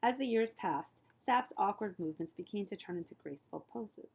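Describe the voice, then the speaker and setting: A woman reading a children's story aloud as a librarian, with expressive emphasis and phrases that often fall hushed. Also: medium pitch, a little fast, slightly rough, low-quality recording.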